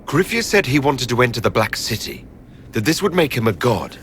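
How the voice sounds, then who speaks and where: Brooding male fantasy commander played by a man, curt, imperious, animated pitch, faint noise. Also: a little fast.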